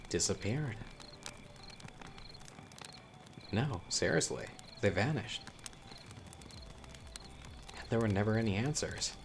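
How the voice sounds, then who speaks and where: A man playing a young newcomer telling eerie local legends by a campfire in a horror audio roleplay, conversational and teasing with dramatic pauses. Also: fairly low pitch, a little hiss or hum. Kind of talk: casual spoken storytelling in horror audio roleplay.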